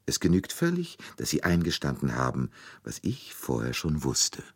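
A man voicing a shrewd, courteous gentleman detective, calm, deliberate and soothing, with bits of French, in an audiobook story. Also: a bass voice, breathy edge, no room sound, studio quality, heavily acted.

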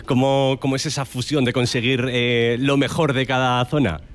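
A man hosting a program, asking a guest questions in a calm, steady way. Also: medium pitch, normal speed. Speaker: interviewer.

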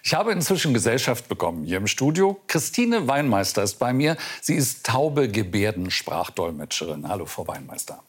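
A man's voice: fairly low pitch, big pitch swings, moderate pace, few pauses, husky. A man with a standard German accent presenting scripted news as a studio anchor.

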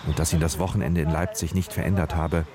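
A man narrating a radio reportage in a calm, scripted storytelling manner. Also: very deep voice, fast talker, crowd noise.

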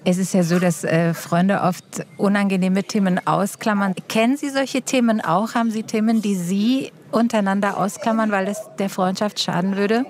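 A woman's voice: mid-range voice, steady tone, moderate pace, hardly any pauses, a little mellow. A woman hosting a radio interview, asking and presenting in a moderated style.

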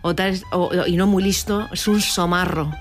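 A woman's voice: fairly low pitch, fairly even intonation, normal speed, soft background music. Easygoing female radio co-host. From Madrid. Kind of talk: studio banter.

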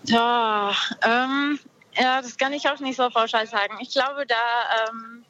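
A woman's voice: on the high side, fairly even intonation, measured pace, fairly bright tone, a little hiss or hum. A woman from Peru speaks reflectively and hesitantly as a guest on a show, over a lo-fi connection. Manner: hesitant, searching for words. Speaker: caller, guest on a radio show. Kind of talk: casual reflective conversation. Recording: dull, lo-fi connection.

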